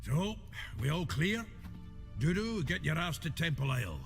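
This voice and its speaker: A gruff gang leader from a fantasy game, jovial and folksy. His voice is gravelly and swings about in lively fashion, with music playing underneath. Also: a little high, moderate pace. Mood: brisk, plotting. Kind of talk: video game voice acting. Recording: music behind the voice.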